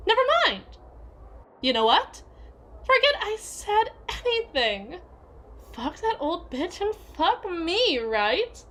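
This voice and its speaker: A woman's voice: very high-pitched, fairly bright tone, a little hiss or hum, heavily acted. A woman playing a domineering, possessive, jealous young yandere in a scripted ASMR roleplay, with a sardonic, needling delivery. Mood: bitter, possessive. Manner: sardonic, foul-mouthed, needling, with hesitant trailing repeats.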